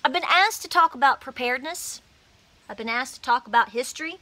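An emphatic woman in her forties speaks straight into a handheld phone camera from her porch. Her voice sits fairly high and rings bright and forceful. She talks animatedly and drives her points home with feeling. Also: animated, a little fast. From Appalachia, USA. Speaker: homesteading vlogger. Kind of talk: passionate straight-to-camera talk. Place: outdoors on a house porch. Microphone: phone camera at arm's length.

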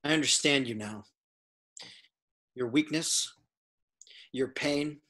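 A young dark warrior, acted by a man, brooding and solemn in a space-opera script reading. The voice is husky, mid-pitched and full of grim resolve. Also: slow. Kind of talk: fan table read of a film script.

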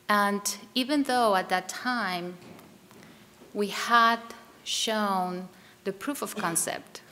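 A woman in her forties, native Spanish speaker with a Colombian accent, giving a panel talk at a podium mic in a wood-paneled hall. Her voice sits on the low side. She speaks in a measured way, with frequent ums, and faint room noise is heard behind her. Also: a bit bright. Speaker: transplant surgeon.

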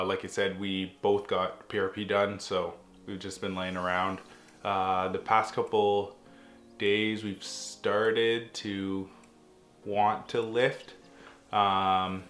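Young male vlogger, slightly nasal with a cold, low voice, echoey room, faint music behind. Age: young adult. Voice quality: a little nasal. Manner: relaxed, many ums and restarts. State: getting a cold. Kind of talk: casual chat to camera. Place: home living room, indoors.

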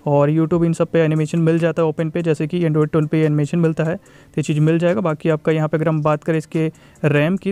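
A man's voice: a little high, dark tone, clean tone, no echo. A man giving a casual, repetitive tech review voice-over, mixing in English tech terms.

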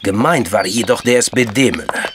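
An actor playing a calm, knowing Georgian intelligence man in a German crime radio drama, speaking deliberately and formally. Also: medium pitch, background noise, close to an everyday voice. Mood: calm, explaining.

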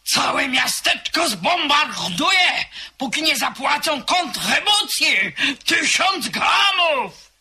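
A woman's voice: high voice, big pitch swings, slow pace, sharp tone, raised voice, full character voice. A woman playing a talkative, gossipy servant in a radio drama, telling a story with theatrical flair. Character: chatty old female servant gossiping.

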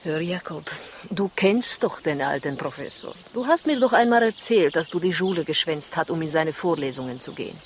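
A poised female spy in an old radio thriller. She coaxes and gently talks down to her listener. The 1960s recording has a faint layer of noise. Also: deep voice, lively intonation, normal speed. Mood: confiding, matter-of-fact. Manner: coaxing, condescending endearments. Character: poised female Russian spy, coaxing and patronizing. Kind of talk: 1960s German radio crime play acting.

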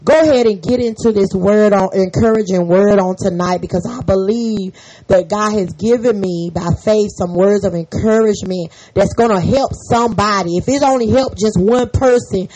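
A hoarse woman hosting a faith podcast, leading a fervent spoken prayer and an encouraging sermon full of repeated amens. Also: medium pitch, moderate pace, dark tone, poor audio. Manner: fervent, repetitive, peppered with amen. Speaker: podcast host and prayer leader.

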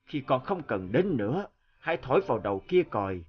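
An actor playing a gentle old father's spirit in a cartoon fairy tale, speaking slowly, tenderly and solemnly. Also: mid-range voice, sing-song, muffled recording, close to an everyday voice. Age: older. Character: gentle dying old father ghost, blessing his youngest son.

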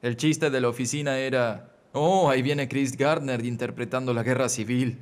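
A middle-aged man giving a motivational talk as a voice-over, earnest, measured and emphatic. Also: medium pitch, fairly even intonation. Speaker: motivational speaker.

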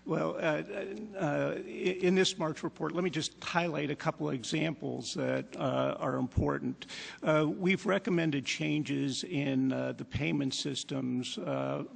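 A man testifying as a commission chairman, measured and hesitant with many fillers. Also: on the high side, creaky, husky, in a large hall. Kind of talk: testimony at a hearing. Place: large hearing room.

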